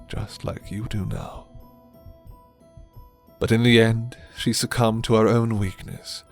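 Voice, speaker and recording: A man voicing a suave, menacing, condescending vampire lord in an ASMR roleplay, courtly and theatrical, with deliberate delivery. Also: lowish voice, gravelly, darkish tone. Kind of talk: ASMR roleplay character acting. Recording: music behind the voice.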